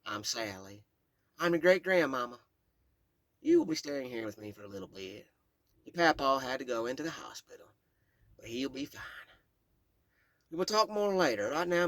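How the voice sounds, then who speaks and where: A woman's voice: deep voice, big pitch swings, moderate pace, long pauses, dry recording, pitched above the performer's own voice. A man voicing a tough, proud great-grandmother in her later years, speaking with a Southern Kentucky accent in a blunt, bossy drawl. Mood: commanding. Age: older.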